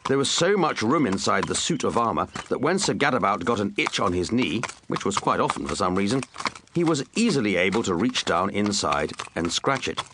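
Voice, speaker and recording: A man's voice: on the low side, big pitch swings, brisk, background noise. A man narrating a comic children's story with dry, wry asides. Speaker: audiobook narrator.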